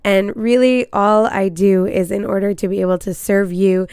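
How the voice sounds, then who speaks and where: A woman's voice: medium pitch, fairly even intonation, moderate pace, darkish tone, smooth, no room sound, studio quality. A woman hosting her own podcast as a marriage coach. She talks in an earnest, encouraging way while congested with a cold. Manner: earnest, encouraging, slightly apologetic. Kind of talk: casual podcast monologue.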